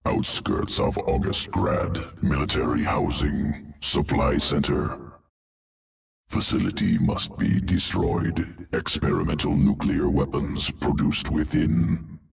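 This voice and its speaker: A monstrous, obsessive alien geneticist from a video game. The voice is extremely deep and full of crackling gravel. It delivers clipped, emotionless reports at a slow pace. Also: male voice, distant mic, full character voice. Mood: clinical, detached. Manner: clipped telegraphic phrases, emotionless. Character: clinical alien evolution-engineer creature, detached, obsessive. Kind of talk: video game creature acting.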